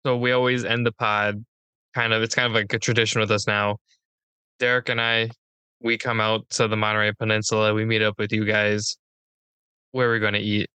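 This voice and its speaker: A man's voice: fairly low pitch, level tone, brisk, bright, forceful tone, clear, resonant tone, no room sound. A man hosting a podcast in casual conversation. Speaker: podcast host. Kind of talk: casual chat.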